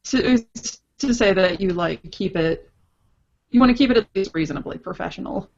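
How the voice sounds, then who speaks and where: A woman's voice: medium pitch, moderate pace, vocal fry, a little mellow. A woman guesting on a casual podcast over an online call with dull, lo-fi audio, talking hesitantly. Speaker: podcast guest, comics artist.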